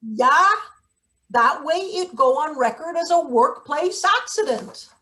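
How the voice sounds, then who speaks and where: A woman's voice: high voice, animated, slow, sharp tone, heavily acted. A woman playing a brusque, scheming maintenance boss in a satirical stage play reading, barking and sarcastic. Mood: indignant. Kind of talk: live table read of a satirical stage play.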